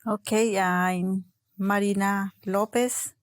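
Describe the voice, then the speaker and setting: A woman from Colombia, giving halting, plain answers in an interview. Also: medium pitch, steady tone, dry recording, clean studio sound.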